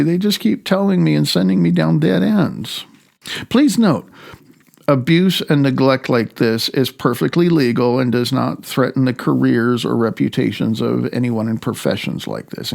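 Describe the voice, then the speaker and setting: A man from the United States reading his own self-help audiobook in a wry, deliberate way with pauses. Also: medium pitch, creaky, darkish tone. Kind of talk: self-help audiobook narration with personal commentary. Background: faint music.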